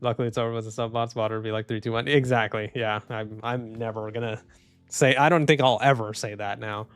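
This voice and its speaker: A man live streaming and reading chat, speaking softly and calmly with frequent restarts. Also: medium pitch, fast talker. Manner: soft-spoken, calm, trailing off with restarts and small laughs. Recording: dry close mic, faint music underneath.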